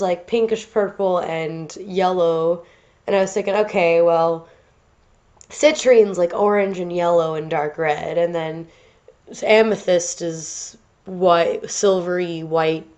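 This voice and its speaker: A woman's voice: medium pitch, darkish tone. Woman, stuffy nose, casual rambling art-video commentary, slightly muffled home recording. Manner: rambling, many fillers and restarts. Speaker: art channel host.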